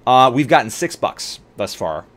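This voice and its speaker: A man hosting a livestream, chatting casually about games in an excitable, jokey way. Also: medium pitch, moderate pace. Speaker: livestream host. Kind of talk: casual game-stream chat. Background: music.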